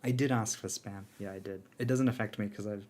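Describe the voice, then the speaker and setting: A man on a casual live stream answering chat, his voice strained, laid-back with frequent fillers and soft laughs. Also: lowish voice, fairly even intonation, fairly quick. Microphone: earbuds.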